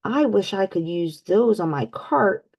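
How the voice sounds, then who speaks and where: A woman voicing a small, timid but determined male piglet in a storybook reading, soft and earnest. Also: medium pitch, expressive, a little slow, gravelly, lo-fi sound.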